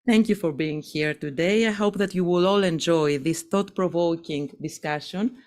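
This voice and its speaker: Loud, lower-voiced woman. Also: normal speed. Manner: loud, formal and emphatic. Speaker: opening speaker, organization director. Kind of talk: prepared welcome speech.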